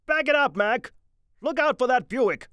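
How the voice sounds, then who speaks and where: A man voicing a loud, brusque male parking lot attendant. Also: high voice, huge pitch swings, normal speed, no echo, studio quality, voice pushed up. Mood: barking. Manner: barking, brusque.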